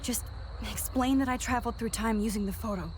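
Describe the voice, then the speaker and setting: An actress playing an earnest, anxious young time traveler in a video game, speaking with an American accent and hesitant, sincere emotion. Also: female voice, on the high side, a little fast, a little hiss or hum, natural voice. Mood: urgent, earnest.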